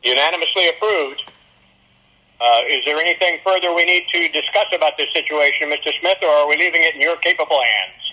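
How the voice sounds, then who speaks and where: Bright, husky man chairing a meeting. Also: fairly high pitch, a little fast. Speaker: board chair. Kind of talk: chairing a public meeting, reading aloud. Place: public board meeting. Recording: dull, muffled teleconference audio.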